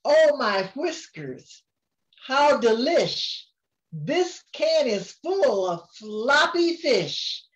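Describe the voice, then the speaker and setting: An older woman reading a rhyming picture book aloud in a gentle, unhurried way, often chuckling. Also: high-pitched, big pitch swings, muffled recording.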